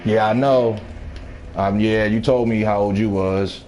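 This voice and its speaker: Laid-back low-voiced man in his thirties. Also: fairly even intonation, a little slow, noisy background. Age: 30s. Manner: laid-back and chatty, answering viewers and laughing often. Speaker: gaming streamer. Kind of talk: casual live-stream chat while gaming.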